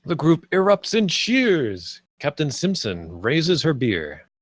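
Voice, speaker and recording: A man's voice: on the high side, wildly swooping pitch, slow pace, dry recording. A man reading stage directions as scripted narration at a table read.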